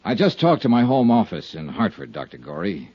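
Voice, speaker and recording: Leading man for vintage radio detective drama, husky, lo-fi. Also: lowish voice, huge pitch swings, darkish tone, no echo. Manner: brisk, tough, confident. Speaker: insurance investigator lead in a radio drama. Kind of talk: 1950s radio drama acting and narration. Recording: dull old radio recording.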